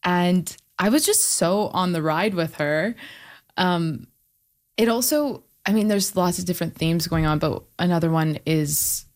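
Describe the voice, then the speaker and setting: Woman, slightly low pitch, enthusiastic, exploratory, casual book discussion. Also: a little slow. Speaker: book club member on a discussion panel.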